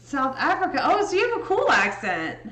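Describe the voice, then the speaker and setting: A young woman from Indiana with a Midwestern accent, chatting casually with viewers during a livestream while she edits photos. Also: high-pitched. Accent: Midwestern American. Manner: slow, relaxed, chatty with frequent laughs. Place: indoor home room. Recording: echoey room with faint music.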